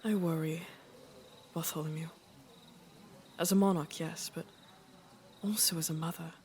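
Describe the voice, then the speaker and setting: A woman performs an aging monarch of a fantasy court, wry and careworn. Her voice sits low with a gravelly fry, and she phrases things deliberately, like a ruler used to being obeyed. There is faint noise behind her. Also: fairly even intonation, darkish tone. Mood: grave, worried. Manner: measured, deliberate, dryly teasing. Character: aging queen and mother, worried, wry, regal. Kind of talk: dramatic fantasy court audio drama.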